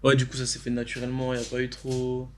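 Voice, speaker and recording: Quick-talking casual man hosting a podcast. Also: medium pitch, crowd noise. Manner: quick, relaxed, slangy questions. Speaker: podcast host. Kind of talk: casual interview chat. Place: podcast studio.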